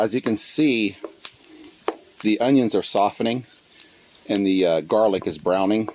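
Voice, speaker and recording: A man in his forties, born in the Philippines, chatting casually through a cooking vlog on his windy front patio. He uses a phone and Bluetooth earbuds, drops in Tagalog phrases, and fills pauses with "uh". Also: low-pitched. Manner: relaxed and chatty, with many uh fillers and Tagalog phrases mixed in. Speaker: cooking channel host. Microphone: Bluetooth earbuds with a cell phone. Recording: noisy outdoor phone recording with wind and sizzling. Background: sizzling and stirring in a wok.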